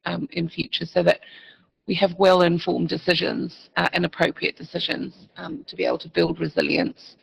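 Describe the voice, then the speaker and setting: High gravelly man, halting and formal. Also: a bit bright, rough recording. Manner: halting, many ums and restarts, formal policy wording. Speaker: panelist. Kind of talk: panel discussion.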